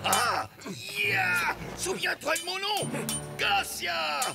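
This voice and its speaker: An actor playing a pompous, boastful yet cowardly army sergeant in a French cartoon dub. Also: male voice, very high-pitched, big pitch swings, very slow, gravelly, heavily acted. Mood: boastful, commanding. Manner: pompous, loud, blustering. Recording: loud music under the voice.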